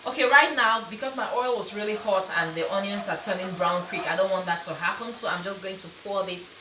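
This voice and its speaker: A woman's voice: medium pitch, a little fast, bright, forceful tone, reverberant, slight background noise, low-quality recording. A woman from Cameroon hosting a live cooking stream in her home kitchen, chatty and enthusiastic, laughing often as she reads out viewer comments. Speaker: cooking show host.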